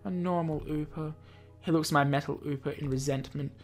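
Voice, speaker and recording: A man's voice: on the high side, fairly even intonation, fairly quick. A male gaming YouTuber reading a visual novel aloud and adding casual commentary. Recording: game music behind. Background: visual novel game music.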